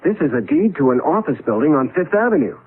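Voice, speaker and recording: An actor in a 1970s radio drama playing an agitated, urgent husband stranded in the past, prone to stammering. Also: male voice, fairly high pitch, very animated, quick pace, breathy edge. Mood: puzzled, excited. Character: agitated husband displaced into his great-grandfather's era. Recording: narrow-band tinny vintage radio recording.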